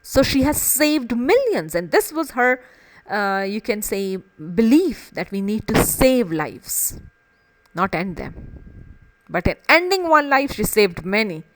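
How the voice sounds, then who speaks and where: A woman's voice: fairly high pitch, very animated, takes it slowly, poor audio. A woman literature teacher explaining a play in an emphatic way, often repeating and rephrasing phrases to stress them.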